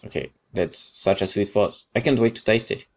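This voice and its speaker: A man's voice: lowish voice, moderate pace, vocal fry, fairly bright tone. Man acting a naive, earnest young soldier. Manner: earnest, obedient. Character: young, naive, eager and deferential male soldier.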